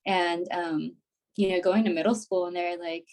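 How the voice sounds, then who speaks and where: A woman's voice: fairly low pitch, steady tone, normal speed, darkish tone. A woman in her twenties, a graduate student guest on a podcast, talking casually with many "like"s and "you know"s. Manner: chatty, heavy use of "like" and "you know". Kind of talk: casual interview chat.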